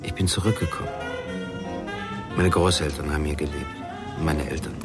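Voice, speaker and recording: An actor playing a reserved, melancholy investigator in a radio drama, measured and understated. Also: male voice, a bass voice, fairly even intonation, loud music behind the voice. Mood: reflective. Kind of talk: German radio drama acting.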